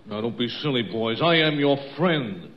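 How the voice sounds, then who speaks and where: A man's voice: mid-range voice, animated, moderate pace, natural voice. A man playing a shifty, falsely friendly small-time hustler in a 1940s radio comedy. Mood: wheedling. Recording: muffled old radio broadcast, faint noise.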